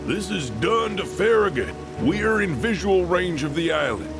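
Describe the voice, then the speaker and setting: An actor playing a commanding, no-nonsense military commander in an audio drama, curt and authoritative. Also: male voice, sits high, very animated, moderate pace. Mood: brisk, commanding. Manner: curt, authoritative, barking orders. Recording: music behind the voice.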